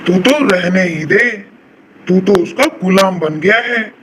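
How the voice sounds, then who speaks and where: A man's voice: high voice, measured pace, a bit bright, slight background noise, rough recording. A man voicing an aggrieved, scheming elderly mother-in-law who complains like a martyr in a cartoon family melodrama. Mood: complaining. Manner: complaining, self-pitying, martyred.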